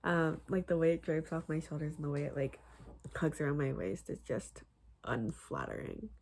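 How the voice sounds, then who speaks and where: A young woman chatting casually about her crochet projects, rambling with many fillers and restarts, her voice a little husky. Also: low-pitched, normal speed, a little mellow, slight background noise. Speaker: crochet vlogger. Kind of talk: casual chat.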